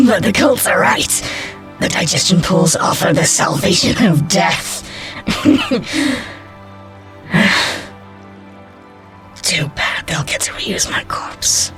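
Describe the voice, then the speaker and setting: A woman's voice: fairly low pitch, very animated, slow, music in the background. A woman playing a world-weary, fatalistic female alien hybrid engineer in a science fiction audio story, sardonic and despairing. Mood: bitter, unhinged. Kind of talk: dramatic audio story acting.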